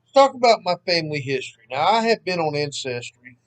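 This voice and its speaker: A man in his thirties with a Southern Mississippi accent, chatting casually at home as the host of a history channel, relaxed and rambling. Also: on the high side, a little fast, rough recording. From Southern United States (Mississippi). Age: 30s. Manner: rambling, relaxed, self-deprecating. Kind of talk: casual vlog chat. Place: at home, sitting.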